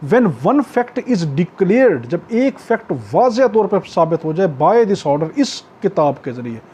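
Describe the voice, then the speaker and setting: A man with a Pakistani accent giving an explanatory law lecture, going step by step, repeating points, checking in often and slowing down to read clauses in English. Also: high voice, sing-song, darkish tone, slightly rough. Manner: repetitive step-by-step explaining with frequent check-ins; switches into slow English when reading out clauses. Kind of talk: explanatory exam-prep lecture, reading clauses and glossing them.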